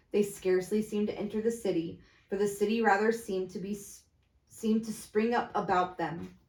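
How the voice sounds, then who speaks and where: A woman reads a classic tale aloud in an echoey room. Her voice sits at a middle pitch, and her homespun narration halts here and there as she stumbles on words. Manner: halting reading with stumbles over words. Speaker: storybook reader. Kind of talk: amateur read-aloud of classic fiction. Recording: echoey, roomy sound.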